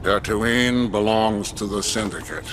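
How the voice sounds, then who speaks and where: An actor playing a cold crime syndicate enforcer with flat, measured speech. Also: male voice, lowish voice. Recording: ambient noise behind.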